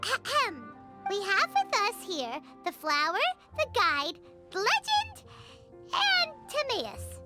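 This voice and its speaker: A woman voicing a tiny, excitable, bossy fairy companion in a video game, with a theatrical chirpy delivery. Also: extremely high pitch, takes it slowly, loud music behind the voice, exaggerated voice. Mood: excited, proud. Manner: refers to self in third person, theatrical.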